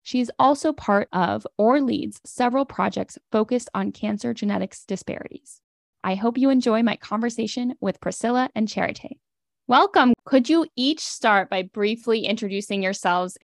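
A woman hosting a professional society podcast, reading guest introductions and web links in a clear, scripted way. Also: a little high, moderate pace, dry recording, clean studio sound. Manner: reads introductions clearly, spelling out web addresses. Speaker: podcast host. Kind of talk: scripted podcast narration and interview.

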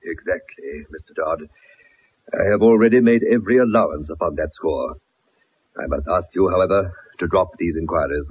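An actor playing a stern, gruff old colonel in a radio drama, with a curt, pompous, formal manner. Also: male voice, low-pitched, normal speed. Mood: cold courtesy. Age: older. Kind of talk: 1960s radio drama acting. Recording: muffled old radio broadcast recording.

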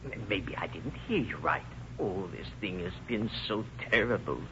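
An actor playing a nervous, evasive husband who feigns innocence with hesitant stammering, in old-time radio crime drama style. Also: male voice, lowish voice, expressive, moderate pace, sharp tone. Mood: panicked. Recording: muffled, noisy old radio recording.

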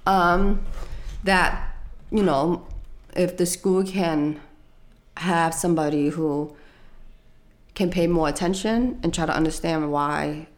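A young woman with a low, soft voice tells her story in an interview. She talks hesitantly and pauses often with fillers. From United States. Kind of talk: personal life story interview.

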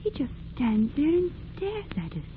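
An actress playing an earnest, tender-hearted young farm daughter, emotive and pleading, in old radio drama style. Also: female voice, high-pitched, huge pitch swings, measured pace, full character voice. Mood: pitying, anxious. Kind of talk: 1940s radio acting. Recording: tinny, noisy old radio recording.

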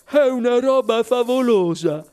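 A woman's voice: on the high side, lively intonation, measured pace, dark tone, soft background music, pitched above the performer's own voice. A woman voicing a gluttonous, heedless fat young boy in a storybook, with a greedy, mumbling manner. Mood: greedy delight.

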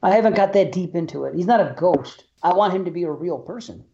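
A man's voice: high-pitched, expressive, quick pace, creaky, dark tone, highs cut off. A man co-hosting a casual podcast, rambling loosely and enthusiastically with plenty of swearing. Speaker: podcast co-host. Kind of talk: casual chat.

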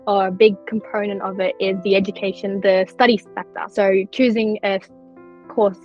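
A woman's voice: medium pitch, little pitch movement, moderate pace, faint music behind. A young woman who grew up partly in Turkey, talking earnestly and chattily as a podcast guest, with lots of likes and you-knows. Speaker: scholarship recipient guest. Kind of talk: candid podcast interview. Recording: slightly dull lo-fi audio.

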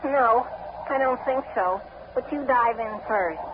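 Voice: mid-range voice, a little slow, natural voice. An actor playing a naive, grumbling teenage boy sidekick in a 1940s radio comedy, full of exclamations. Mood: boyish, fretful. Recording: noisy, tinny 1940s radio recording.

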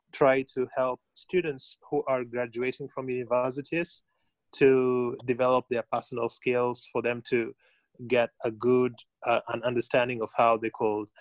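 A man from Kenya with a Kenyan accent, speaking as a podcast guest in a deliberate, halting conversational manner. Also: mid-range voice, dry recording, rough recording. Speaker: podcast guest, founder and student. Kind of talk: conversational self-introduction.

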